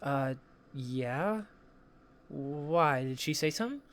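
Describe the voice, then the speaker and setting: A man's voice: mid-range voice, animated, takes it slowly, fairly bright tone, slight background noise. A man playing an anxious, friendly young male student in an audio drama, casual and hesitant. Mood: concerned, unsure.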